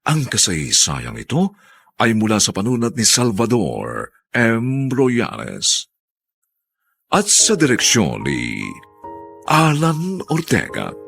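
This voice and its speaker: A man's voice: lowish voice, creaky. A man with a Filipino accent doing dramatic scripted narration and announcing for a radio drama.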